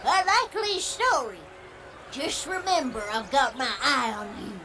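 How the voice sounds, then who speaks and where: A woman's voice: high-pitched, unhurried, a crowd in the background, full character voice. A woman voicing a feisty, suspicious elderly granny pony in a cartoon comic dub, with a folksy drawl. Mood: suspicious, indignant. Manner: folksy drawl, scolding, animated. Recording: loud music underneath.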